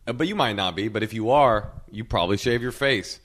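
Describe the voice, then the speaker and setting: A man's voice: fairly low pitch, fairly quick, a bit bright. A man co-hosting a comedy podcast, riffing loosely through an ad read and tour dates. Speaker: podcast co-host. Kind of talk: casual banter, ad read. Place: podcast studio.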